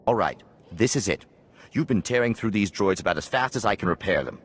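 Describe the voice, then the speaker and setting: A man's voice: fairly low pitch, expressive, a little fast, husky. An actor playing a male trainer in a video game. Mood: businesslike. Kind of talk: video game dialogue acting.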